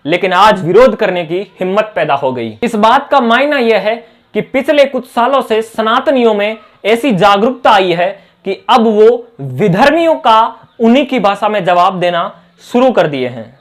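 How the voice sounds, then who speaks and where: A man presenting opinionated news commentary in an emphatic, rhetorical manner. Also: sits high.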